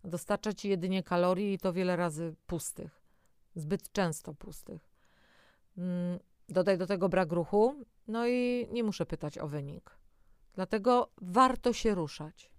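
A woman's voice: on the low side, measured pace, smooth, dry recording, clean studio sound. A woman presenting a scripted but conversational health talk, speaking directly to the listener in an explanatory way. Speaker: presenter of an audio health programme.

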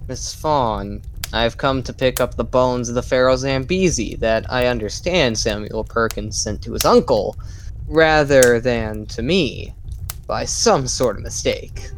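A man's voice: mid-range voice, expressive, slow, a little hiss or hum. A man playing a pompous, villainous museum curator in an old-time radio drama, speaking stiffly and formally.

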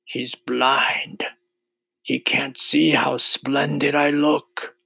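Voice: medium pitch, animated, slow, vocal fry, fairly bright tone, no echo, voice pushed up, full character voice. A performer voicing a boastful, cheeky male centipede in a storybook, bragging and teasing. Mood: proud.

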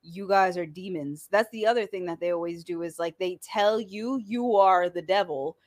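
A woman co-hosting a podcast in casual political chat, emphatic and quick to chime in. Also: fairly low pitch, a little mellow.

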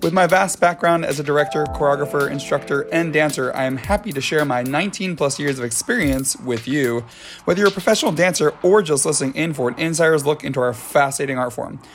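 A man's voice: on the high side, fast talker, soft background music. A man hosting his own dance podcast, chatting casually with lots of ums and restarts, his voice scratchy. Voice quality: scratchy, gravelly voice. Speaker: podcast host and dance choreographer. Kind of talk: casual solo podcast chat.

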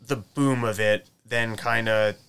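A man's voice: steady tone, measured pace, fairly bright tone, smooth. A man in casual chat about recording gear, rambling and correcting himself as he goes. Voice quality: says his voice is high-pitched. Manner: rambling, self-correcting, technical. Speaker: comedian recording his shows.

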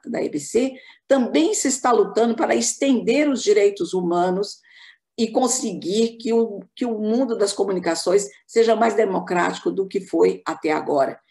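A Brazilian woman giving a formal, measured academic talk as a panelist on an online call. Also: medium pitch, vocal fry, a little mellow.

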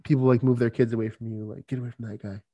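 A man's voice: medium pitch, level tone, racing, heavy background noise. A man co-hosting a podcast, talking casually in a rambling way, laughing often and filling with "like". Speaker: podcast co-host. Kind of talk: casual chat.

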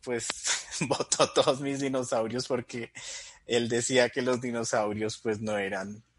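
A man in his thirties with a middle-pitched voice speaks as a guest in an interview. He tells stories in a relaxed way and often chuckles mid-sentence. The close, dry recording leaves no room echo. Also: unhurried. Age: 30s. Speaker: Bible teacher, podcast guest. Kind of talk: casual interview.

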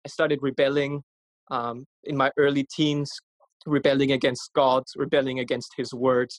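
Earnest young man from Austria, flat delivery. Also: mid-range voice, moderate pace, dry recording. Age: 20s. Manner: earnest, warm-hearted storytelling with fillers. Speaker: podcast guest. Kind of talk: casual testimony.

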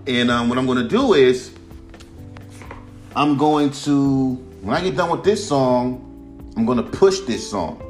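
A man with a smooth baritone voice, hosting a casual solo podcast and ranting about his own music, swearing often. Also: unhurried, pauses often. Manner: animated, swears often, jumps to a high voice when mimicking fans. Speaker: podcast host and rapper. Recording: roomy room with guitar music behind.

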